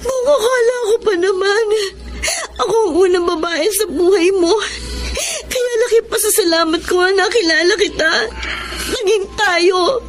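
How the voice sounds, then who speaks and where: A very high-pitched, heartbroken young woman in a radio drama. She is tearful and reproachful, a trusting girl who feels betrayed. Faint music plays underneath. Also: moderate pace. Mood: bitter, weeping. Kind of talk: Tagalog radio drama acting.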